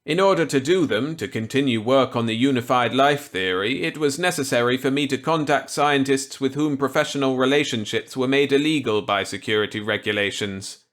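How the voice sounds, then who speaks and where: A man's voice: mid-range voice, fairly quick, few pauses, a bit bright, voice pushed up, close to an everyday voice. A man voicing a genial, wry, unrepentant scientist in a storybook reading, with clipped, breezy delivery. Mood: wry, assured.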